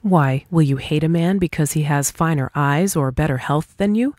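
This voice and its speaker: A woman's voice: sits low, normal speed, a little mellow, no echo, studio quality. A woman reading a scripted dialogue aloud as for an audiobook, in a formal, argumentative manner. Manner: formal, argumentative, rhetorical questioning. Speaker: audiobook reader.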